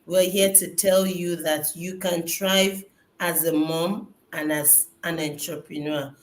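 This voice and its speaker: A woman's voice: lowish voice, steady tone, slow pace. A woman hosting a motivational livestream, speaking in an earnest, encouraging way over a video call. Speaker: host. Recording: echoey room, faint background noise.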